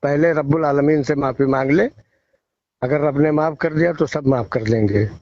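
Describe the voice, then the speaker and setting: A male field reporter giving emphatic, moralizing opinions in a news phone interview, often repeating phrases. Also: normal speed. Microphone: phone call. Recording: muffled, echo-free low-fidelity phone call recording.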